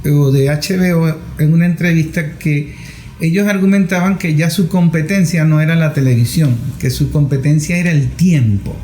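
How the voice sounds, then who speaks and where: A man's voice: a little high, fairly even intonation, moderate pace, mellow. A middle-aged man interviewing a guest in a conversational way. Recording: echoey, roomy sound.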